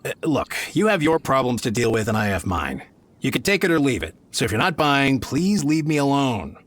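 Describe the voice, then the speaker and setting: Shifty male game merchant: haggling, hesitant, theatrical, very lively intonation. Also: medium pitch, fairly quick. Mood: defensive. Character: shifty, greedy male merchant, easily cowed. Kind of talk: video game voice acting.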